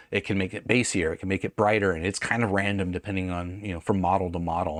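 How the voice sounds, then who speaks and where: Low-voiced man giving a casual gear review. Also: dry recording, clean studio sound. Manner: conversational, hedging and self-correcting. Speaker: audio gear reviewer and host. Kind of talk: casual unscripted product review.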